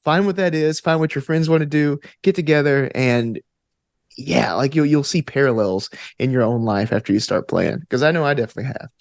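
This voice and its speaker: A man's voice: medium pitch, brisk, gravelly, dry recording, clean studio sound. A man answering as an interview guest, earnest and reflective, thinking aloud with plenty of "like" and "you know". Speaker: podcast guest, actor and tabletop gamer.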